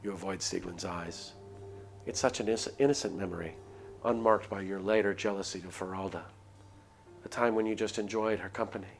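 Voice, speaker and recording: A man's voice: sits low, fairly even intonation, a little fast, vocal fry, soft background music. A man reading a visual novel aloud on a live stream, moving between dramatic narration and casual asides.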